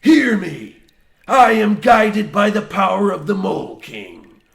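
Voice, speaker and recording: A zealous old mine boss in a comic horror radio play. His voice is creaky and fairly high for a man, and he intones archaic, rustic phrases like a preacher. The room echoes around him. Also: lively intonation, takes it slowly, full character voice. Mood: fervent, ranting.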